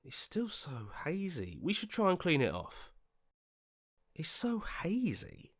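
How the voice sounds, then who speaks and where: An anxious, earnest young hero from a video game, pleads and hesitates as he speaks. His pitch moves about a lot, sliding between worry and protest. The sound is dry and close, with no room on it. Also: huge pitch swings, slow, breathy edge, no echo, full character voice. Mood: worried, hushed.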